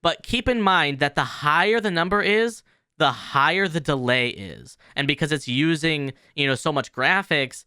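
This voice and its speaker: A man giving a casual software tutorial in an informal, explanatory way. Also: on the high side, moderate pace, smooth, dry recording, clean studio sound. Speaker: tutorial host.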